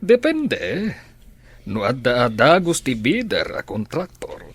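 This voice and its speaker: Casting: stern, business-minded Filipino father, radio drama, lively intonation, close dry sound. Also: medium pitch, takes it slowly, breathy edge, dry recording, heavily acted. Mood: measured, questioning. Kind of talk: Ilocano radio drama acting. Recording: dry studio with music underneath.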